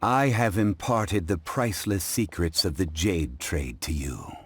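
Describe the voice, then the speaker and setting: An actor playing a calm, refined gentleman connoisseur in a video game, speaking in a formal, measured, lecturing way. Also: low-pitched, slight background noise. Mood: calm, instructive. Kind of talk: English-dubbed video game acting.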